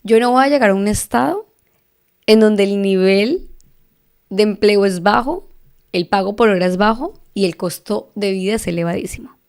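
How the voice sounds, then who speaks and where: Woman, Bogotá Colombian accent, vocal fry, podcast host, casual explainer, frequent tag questions. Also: mid-range voice, normal speed, a little mellow. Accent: Bogotá Colombian Spanish. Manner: chatty, asks listeners for agreement with frequent tag questions. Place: living in Texas.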